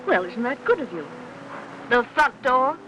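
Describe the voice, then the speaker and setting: An actress playing a fussy, chatty older aunt who rambles and nags, in the style of a 1950s film. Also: female voice, fairly high pitch, very animated, unhurried, a bit bright, rough recording. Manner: rambling, nosy, nagging. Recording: hissy old film soundtrack.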